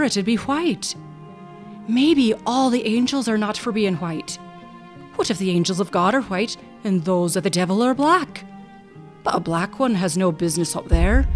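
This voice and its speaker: A woman voicing an earnest young lad in a storybook, dreamy and full of questions and exclamations. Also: on the high side. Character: earnest, wondering young Irish lad guarding a swamp. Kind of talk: audiobook character voice.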